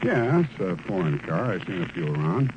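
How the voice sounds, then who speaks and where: An American actor playing a wry, flirtatious hard-boiled private detective in 1940s radio drama style. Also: male voice, low voice, sing-song, moderate pace, highs cut off. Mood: smooth, skeptical. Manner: wry, sardonic. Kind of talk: 1940s radio detective acting with first-person narration. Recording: noisy old-time radio recording.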